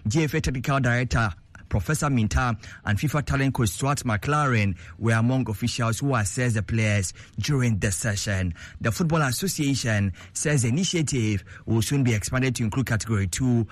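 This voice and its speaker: A man presenting sports news in a steady, formal, scripted delivery. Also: mid-range voice, fairly quick, dry recording.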